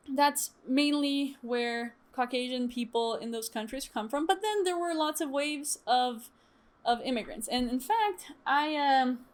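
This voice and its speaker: A young woman from Chile chatting casually to her stream viewers, lively and laughing often, with many fillers. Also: sits high. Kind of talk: casual storytime chat.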